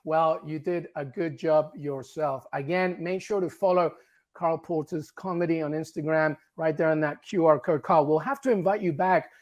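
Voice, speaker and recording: A man's voice: fairly high pitch, steady tone. A man from Peru, a native Spanish speaker, hosting a football show and interviewing a guest with upbeat, enthusiastic questions. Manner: upbeat, warm praise, quick questions. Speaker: sports show host.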